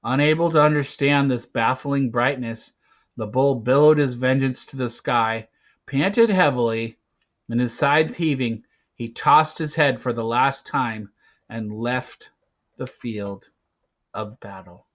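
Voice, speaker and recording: A man reads an adventure story aloud at a slow, deliberate pace. His delivery is theatrical and emphatic, moving between hushed phrases and louder ones. His voice sits at an average male pitch, and the recording is dull and lo-fi. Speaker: reader of a children's adventure novel. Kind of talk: dramatic read-aloud narration.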